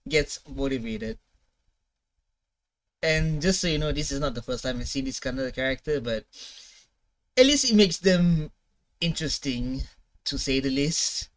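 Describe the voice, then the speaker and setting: Casual young man, halting commentary. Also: a little high. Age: young adult. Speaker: reaction video host. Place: small room at home. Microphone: gaming headset mic.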